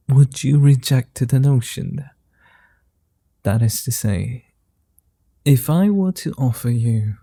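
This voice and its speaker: A man voicing a soft gravelly vampire. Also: medium pitch, no echo, full character voice. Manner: soft, slow, flirty and teasing. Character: gentle flirtatious male immortal vampire. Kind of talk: ASMR roleplay.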